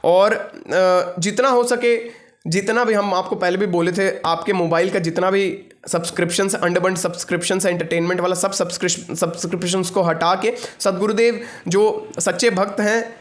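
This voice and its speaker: A man's voice: high voice, steady tone, normal speed. A young adult man speaking as a spiritual teacher, giving an informal devotional discourse in an earnest, instructive manner with repeated phrases for emphasis. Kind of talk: devotional discourse, mixing reading with informal explanation.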